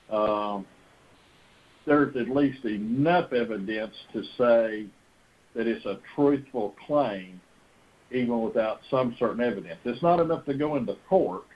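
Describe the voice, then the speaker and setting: A man hosting a conference call, talking in an earnest, folksy, conversational way and often rephrasing himself for emphasis. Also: medium pitch. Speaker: host of a conference call, pastor. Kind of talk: earnest conversational monologue and storytelling. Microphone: telephone. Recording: dull, lo-fi conference phone call.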